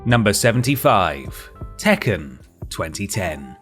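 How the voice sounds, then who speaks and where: A man's voice: fairly low pitch, sing-song, slow pace, fairly bright tone, soft background music. A man presenting a scripted comedic countdown in a dry, sarcastic manner. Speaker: video list presenter.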